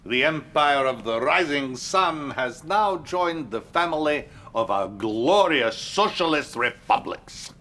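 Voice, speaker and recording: A man playing a grandiose, smoothly flattering Soviet premier with a Russian accent in a video game cutscene. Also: fairly high pitch, full character voice. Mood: pompous, pleased. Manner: slow, pompous oratory. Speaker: video game character actor. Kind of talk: dramatic game cutscene acting.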